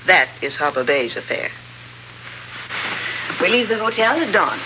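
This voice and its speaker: An actress playing a composed, businesslike female archaeologist and plotter in 1950s radio drama, speaking formally and with measured care. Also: fairly low pitch, very animated. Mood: guarded, composed. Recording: vintage 1950s radio recording, faint noise.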